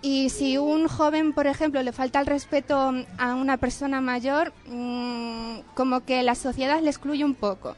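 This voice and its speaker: High-voiced woman. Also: steady tone, normal speed, hardly any pauses, faint music behind. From Philippines. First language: a Philippine language. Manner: careful and explanatory. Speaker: guest. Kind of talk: conversational interview answers.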